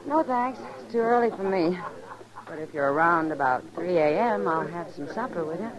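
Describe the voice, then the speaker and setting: A woman's voice: lowish voice, animated, moderate pace, natural voice, poor audio. An actress playing a frank, friendly frontier saloon woman in a 1950s radio western, with a wry, familiar way of talking. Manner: wry, familiar, plain-spoken. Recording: old radio recording, busy crowd behind.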